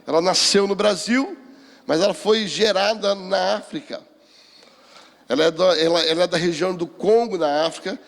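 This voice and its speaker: Male preacher, 60s, Brazilian accent, high voice, deliberate testimony delivery, reverberant hall. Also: gravelly. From Rio de Janeiro, Brazil. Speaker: pastor, seminar speaker. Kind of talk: sermon with personal testimony. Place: church hall, speaking to a seminar.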